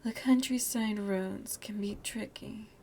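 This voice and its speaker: Woman playing a slow, hushed, seductive vampire lady. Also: mid-range voice, fairly even intonation, creaky, a little hiss or hum. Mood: gracious, welcoming. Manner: slow, genteel, softly half-whispered, coaxing. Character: elegant, seductive vampire lady of the manor, motherly. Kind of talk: ASMR roleplay, soft breathy speech.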